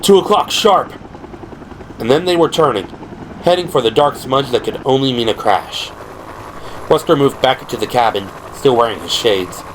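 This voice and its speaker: A man's voice: mid-range voice, expressive, moderate pace. Male narrator over noisy sound effects. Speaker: narrator of an audio drama. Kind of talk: dramatic scripted narration. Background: helicopter and vehicle sound effects.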